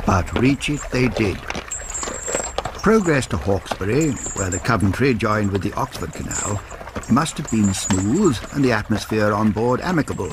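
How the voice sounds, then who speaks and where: An actor playing a formal historian, narrating a radio drama in a measured, literary manner. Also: male voice, fairly low pitch, a little hiss or hum. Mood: grave. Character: formal male historian narrating a Victorian murder.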